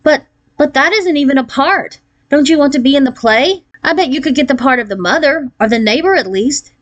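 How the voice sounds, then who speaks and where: A woman plays a confident fifth-grade girl from a storybook, with a raised, youthful pitch. She speaks eagerly and emphatically, sure of herself and a little competitive. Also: animated, a little fast, lo-fi sound. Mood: disbelieving, urging. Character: eager, self-assured fifth-grade schoolgirl with a competitive streak.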